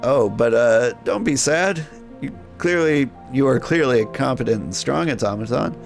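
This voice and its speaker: A man voicing a genial, well-mannered gentleman animal dealer in a video game, genteel and kindly. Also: mid-range voice, expressive, moderate pace, vocal fry, soft background music. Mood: consoling.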